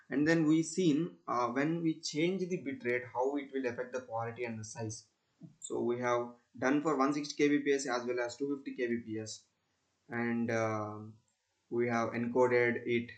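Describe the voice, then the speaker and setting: A young man with an Indian accent giving a technical demonstration as a student presenter, explaining haltingly with repeated phrases. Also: mid-range voice. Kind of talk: screen-recorded technical demonstration.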